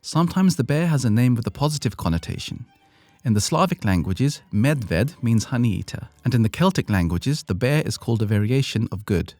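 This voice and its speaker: A man's voice: mid-range voice, big pitch swings, a little fast, darkish tone, dry recording, faint music behind. A British man hosting an educational podcast, reading a script with playful, self-deprecating asides. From Britain. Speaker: podcast host.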